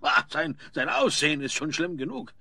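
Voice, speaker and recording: Pompous, husky, blustering wealthy father. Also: fairly high pitch, big pitch swings, bright, forceful tone, no echo, clean studio sound, heavily acted. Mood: outraged. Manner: blustering, theatrical, halting. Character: indignant, pompous wealthy father obsessed with his reputation. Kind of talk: German audio drama acting.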